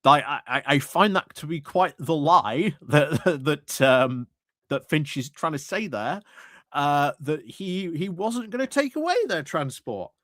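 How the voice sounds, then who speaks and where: A man's voice: a little high, lively intonation, unhurried, a bit bright, no room sound, clean studio sound. A man doing live reaction commentary over council footage, sarcastic and laughing at what he hears.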